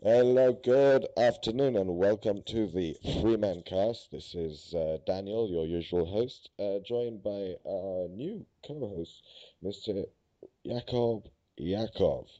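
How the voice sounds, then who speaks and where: Low, dark-voiced man hosting a podcast. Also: animated, takes it slowly. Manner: easygoing, laughs often, many fillers. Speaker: podcast host. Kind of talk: casual podcast chat. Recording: dull lo-fi sound.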